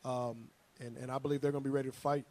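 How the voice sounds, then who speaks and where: A man's voice: medium pitch, flat delivery, fast talker, darkish tone. A man coaching basketball, a guest on a sports show, talking in an earnest way and repeating his words.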